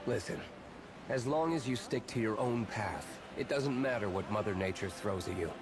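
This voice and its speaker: A man's voice: fairly low pitch, lively intonation, normal speed, natural voice. A man acting a brooding, melodramatic loner with a grave, theatrical manner in scripted drama. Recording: very noisy, quiet, distant ambience.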